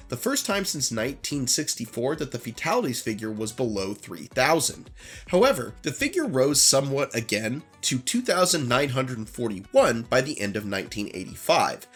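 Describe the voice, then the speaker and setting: Nasal man, lively scripted commentary. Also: medium pitch, slightly rough. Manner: earnest, opinionated asides between read passages. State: recovering from flu. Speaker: YouTube host. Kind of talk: reading a scripted commentary on lost media.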